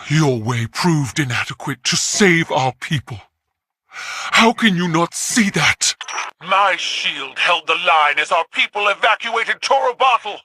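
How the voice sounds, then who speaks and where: Low, forceful alien warrior empress. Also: female voice, dry recording, heavily acted. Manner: imperious, clipped, formal. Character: proud, defiant alien warrior empress, stern and commanding. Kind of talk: scripted video game dialogue.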